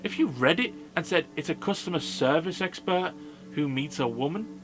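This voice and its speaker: A man's voice: a little high, lively intonation, normal speed. A man giving a casual movie review as a video host, in a blunt, sweary, informal manner. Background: background music.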